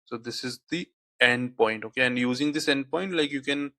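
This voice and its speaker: A man's voice: mid-range voice, moderate pace, sharp tone, dry recording. Hindi-speaking guy doing a screen tutorial, says okay like a lot, dead dry mic. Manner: frequent "okay, like" fillers. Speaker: tutorial instructor. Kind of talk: screen-recorded software tutorial.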